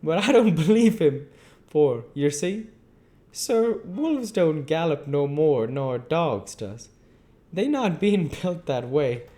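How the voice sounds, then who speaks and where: A man performs an old keeper from a classic storybook, his voice pitched well up. He rambles on in homely dialect, opinionated and emphatic, with loose rustic grammar. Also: sing-song, slow, warm tone. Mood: folksy, opinionated. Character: talkative old Cockney zookeeper, folksy and opinionated. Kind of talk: audiobook character voice.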